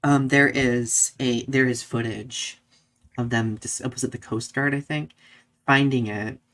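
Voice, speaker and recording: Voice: mid-range voice, fairly even intonation, a little slow, a bit bright. A podcast co-host chatting through current events on a live show in a conversational, informal way.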